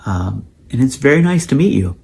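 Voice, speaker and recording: A man's voice: mid-range voice, big pitch swings, normal speed, gravelly, warm tone. A man from the United States introducing himself in his first video post, friendly and enthusiastic, with many ums.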